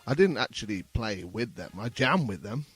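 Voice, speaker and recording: A man's voice: fairly low pitch, big pitch swings, moderate pace, fairly bright tone, husky, soft background music. A man in his fifties with a Birmingham accent, telling anecdotes casually as an interview guest. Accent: Birmingham (Brummie). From Birmingham, England. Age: 50s.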